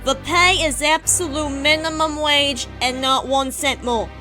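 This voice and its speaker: Woman playing a bossy café boss, high bright voice, demanding and exasperated, soft music under. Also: steady tone, measured pace. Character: bossy, shrill adult woman coffee shop manager. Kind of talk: fan comic dub voice acting.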